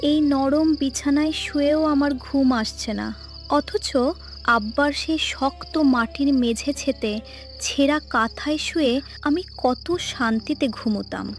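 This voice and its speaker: A woman's voice: high-pitched, measured pace. A woman playing a lonely, homesick young daughter in a cartoon story, plaintive and wistful as she speaks to herself. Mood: homesick, sad. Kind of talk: animated story monologue. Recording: music behind the voice.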